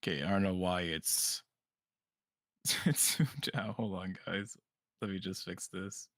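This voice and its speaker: A woman co-hosting a livestream, calm and reassuring as she greets the chat. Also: very deep voice, measured pace, no room sound, studio quality. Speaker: livestream co-host.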